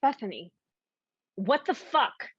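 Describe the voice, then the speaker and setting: An actress playing a proud, blunt Filipino American performer at an audition in a naturalistic play. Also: female voice, a little high, sing-song, slow, slightly rough, no echo, heavily acted. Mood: angry, incredulous. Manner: blunt, confrontational. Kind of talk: naturalistic stage play acting over video call. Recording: muffled, dry video-call audio.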